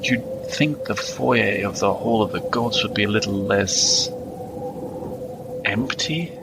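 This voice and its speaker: An actor playing a nervous, polite monk in a comic fantasy audio drama, speaking haltingly. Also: male voice, on the low side, background noise. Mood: hesitant, uneasy. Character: nervous, hesitant monk in a bleak afterlife.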